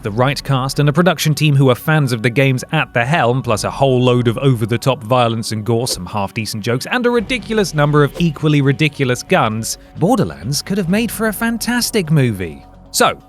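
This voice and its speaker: A British man reading scripted narration as a presenter, in a wry, sardonic manner. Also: medium pitch. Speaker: video essay presenter.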